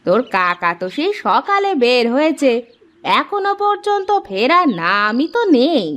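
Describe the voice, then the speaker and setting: A kindly older auntie from a village, played by a woman in a cartoon. She has a high voice and speaks in familiar, homely dialogue. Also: very animated, a little slow, a bit bright, exaggerated voice. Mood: welcoming.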